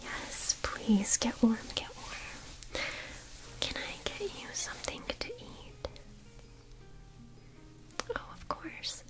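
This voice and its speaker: A woman in her twenties whispering gently as a kindly, attentive medieval innkeeper in an ASMR roleplay, in a soft breathy voice. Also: a little high, very animated, heavily acted, rough recording. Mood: gentle, attentive. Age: 20s. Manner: gentle, unhurried, solicitous. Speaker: ASMR performer. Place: small dim candlelit indoor set. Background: music.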